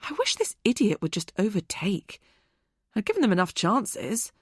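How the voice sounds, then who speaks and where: A woman's voice: medium pitch, big pitch swings, moderate pace, breathy edge, no room sound, studio quality. A woman reading an audiobook, voicing a chatty, upbeat woman friend.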